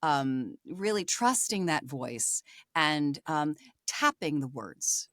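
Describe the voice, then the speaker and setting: A woman's voice: low voice, animated, slow pace, fairly bright tone, no echo, clean studio sound. A woman mentoring voice actors, giving chatty feedback full of fillers and restarts. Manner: rambling with many fillers and restarts. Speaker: voice acting mentor. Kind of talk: conversational feedback chat.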